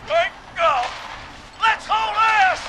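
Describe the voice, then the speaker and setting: High-pitched, frantic panicked caller. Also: male voice, drawn-out words, over music, full character voice. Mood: relieved, grateful. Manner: frantic, exclaiming. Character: panicked, grateful man trapped in a dumpster. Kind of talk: video game voice acting.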